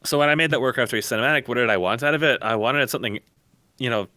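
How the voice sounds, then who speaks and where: A man's voice: medium pitch, quick pace, pushed and bright, no echo. A man in a casual opinionated discussion on an online voice call, rambling, blunt and emphatic. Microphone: close mic on an online voice call.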